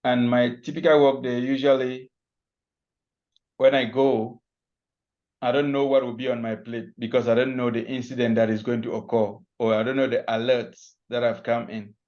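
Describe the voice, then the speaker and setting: A man's voice: mid-range voice, flat delivery, moderate pace. A man from Cameroon, a cloud security expert, explaining his workday in a loose, step-by-step way with frequent restarts on a video call. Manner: loose, restarting, walks through examples step by step. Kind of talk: online panel talk, explaining his job. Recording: muffled call audio.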